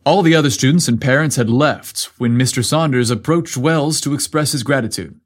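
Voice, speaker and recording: A man's voice: mid-range voice, moderate pace. A man reading a scripted story aloud as its storyteller.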